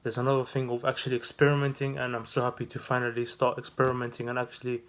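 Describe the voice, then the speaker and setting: A man's voice: medium pitch, completely flat delivery, quick pace. A man in his twenties from the Netherlands, talking off the cuff in a casual vlog, with lots of fillers and restarts. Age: 20s. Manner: rambling, many fillers and restarts. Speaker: vlogger and new business owner.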